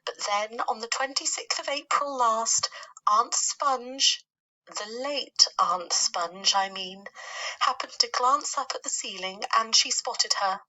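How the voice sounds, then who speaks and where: A woman's voice: on the high side, slow pace, sharp tone, pitched above the performer's own voice, heavily acted, poor audio. A woman playing a dramatic, doleful female spider from a children's storybook, gushing and lamenting. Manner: gushing, self-correcting, theatrical.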